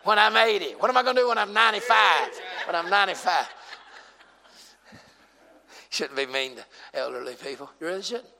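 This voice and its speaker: Man in his forties, preacher, joking with the crowd, bright voice, echoing church hall. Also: sits high, lively intonation, fairly quick, gravelly, long pauses. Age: 40s. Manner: humorous, teasing the congregation, builds to shouting. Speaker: pastor. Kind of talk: sermon. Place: church sanctuary.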